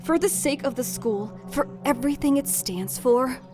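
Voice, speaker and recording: A woman voicing a brisk, formal, scheming female staff aide, articulate and dryly businesslike, in a dramatic fandub. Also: sits high, animated, over music. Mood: pointed, urgent.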